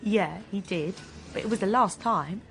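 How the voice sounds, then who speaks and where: An actress with a British accent playing a brash, teasing, guarded young ex-soldier in naturalistic radio drama, blunt and deflecting. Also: female voice, mid-range voice, moderate pace, gravelly, fairly bright tone, slight background noise. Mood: blunt, fond.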